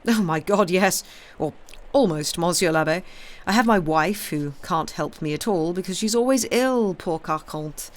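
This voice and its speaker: A man's voice: high-pitched, moderate pace, lightly acted. A man voicing a fawning, garrulous innkeeper who stammers and complains as he serves, in an audiobook. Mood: plaintive, boastful. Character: fawning, garrulous, self-pitying male French innkeeper.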